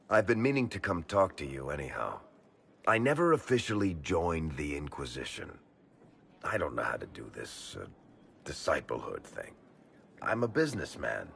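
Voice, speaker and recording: A low, gravelly wry businessman from a fantasy game. He talks casually and haltingly, with lively swings in pitch, over faint background noise. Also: full character voice. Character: wry, plain-spoken businessman, a loyal male friend. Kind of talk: video game dialogue acting.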